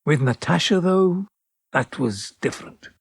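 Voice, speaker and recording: Slow, creaky-voiced bitter older man. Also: on the high side, expressive, no echo, studio quality, full character voice. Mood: nostalgic. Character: older Alec, bitter, wistful man looking back on lost loves. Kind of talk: audio drama first-person narration.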